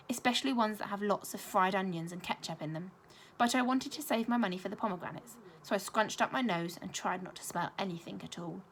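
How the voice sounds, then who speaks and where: A woman reading a children's story aloud like a primary school teacher, expressive and clearly paced. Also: medium pitch, a little hiss or hum.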